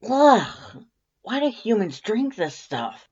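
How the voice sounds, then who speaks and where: A woman voicing a brash, excitable female monster best friend in a fan-fiction audiobook, loud, emphatic and teasing. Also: a little high, slow, husky, highs cut off, voice pushed up, exaggerated voice. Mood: disgusted.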